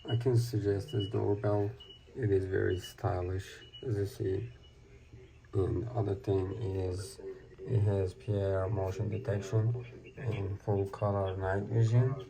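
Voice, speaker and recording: Guy doing a casual gadget demo, really slow and flat, short plain phrases, noisy recording with clicks. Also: on the low side, vocal fry. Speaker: product reviewer. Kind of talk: casual product demonstration.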